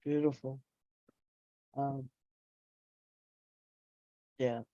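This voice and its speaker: A woman's voice: extremely low pitch, steady tone, very slow, dark tone, no room sound. Muffled, meandering woman host. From New Zealand. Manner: meandering, searching for words, spiritual. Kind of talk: casual online talk, guided breathing.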